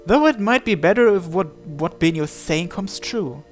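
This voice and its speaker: A man with a high-pitched voice narrates a visual novel, reading the story text aloud. Game music plays behind him. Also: lively intonation, moderate pace.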